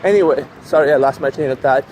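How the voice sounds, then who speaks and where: A man's voice: medium pitch, quick pace, darkish tone. A young man whose first language is Urdu, talking casually in a rambling way with lots of fillers while walking and filming himself on a city street. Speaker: vlogger. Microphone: handheld camera while walking. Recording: street traffic noise behind.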